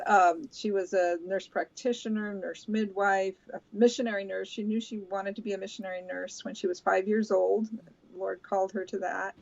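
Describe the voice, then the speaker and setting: Older woman raised in brazil, soft, pausing a lot, um and uh, muffled call audio, middle pitch. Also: creaky. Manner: soft-spoken, halting with many pauses and fillers. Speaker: guest, host's mother. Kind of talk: casual remote interview.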